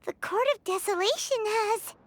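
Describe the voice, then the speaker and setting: A woman voicing a tiny, eager, inquisitive childlike companion in a video game, squeaky and helpful. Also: moderate pace, slight background noise. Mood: curious, eager. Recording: loud music behind.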